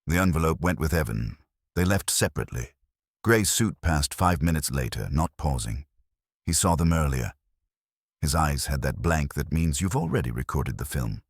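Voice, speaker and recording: A terse private investigator as a male narrator plays him, giving wry, clipped reports. He has a very deep voice with lively pitch movement and a dry, close sound, in a detective audiobook. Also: moderate pace, no room sound, exaggerated voice.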